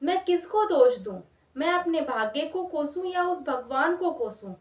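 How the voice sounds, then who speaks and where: A woman's voice: high voice, expressive, unhurried, pitched above the performer's own voice. A woman storyteller playing a devout male sage, grieving and remorseful, who laments and pleads in repeated phrases. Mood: anguished lament. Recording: roomy echo, distant from mic.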